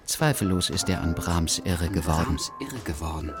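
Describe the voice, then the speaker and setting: Bemused official, loud music behind. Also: male voice, low-pitched, animated, normal speed. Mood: irritated. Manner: dry, formally polite. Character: bemused, increasingly exasperated male government inspector. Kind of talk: satirical radio drama acting.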